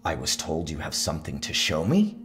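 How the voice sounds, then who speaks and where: A man playing a gracious, grateful traveler in a storybook reading, speaking in a courteous, formal and expressive way. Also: very deep voice, fairly quick, soft background music, pitched below the performer's own voice, heavily acted. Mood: warm gratitude. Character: gracious, grateful male traveler visiting a village.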